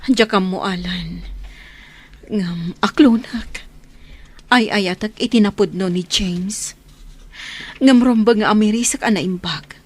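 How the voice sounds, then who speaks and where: A husky, mid-pitched woman's voice with an Ilocano Filipino accent. An actress plays a gentle woman in love who puts others first, in an audio drama. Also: slow pace, long pauses. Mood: pained, resigned.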